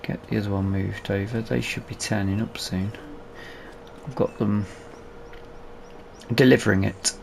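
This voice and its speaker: A man's voice: lowish voice, steady tone, unhurried, gravelly, pauses often, a little hiss or hum. A man giving casual let's play commentary, hesitant and musing aloud to himself as he hosts a gaming video.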